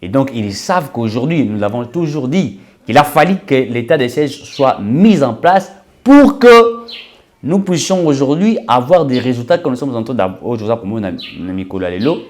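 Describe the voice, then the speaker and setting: A man's voice: medium pitch, animated, normal speed, darkish tone. A man with a Congolese French accent hosts an online news show, reading headlines and commenting with frequent fillers and restarts. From Democratic Republic of Congo. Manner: mixes French with Lingala, hesitant fillers and restarts.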